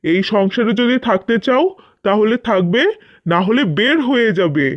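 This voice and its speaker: A man's voice: high-pitched, expressive, moderate pace, dry recording, muffled recording. A man playing a cruel, haughty young rich husband in a cartoon, speaking with contempt and threat. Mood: contemptuous, threatening. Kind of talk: scripted cartoon dubbing.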